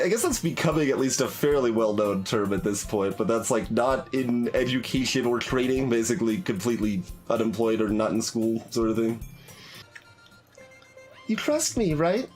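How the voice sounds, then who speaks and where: A man's voice: medium pitch, moderate pace, few pauses, darkish tone, soft background music. A man streaming a playthrough, casually reading game text aloud in a hesitant, wry manner.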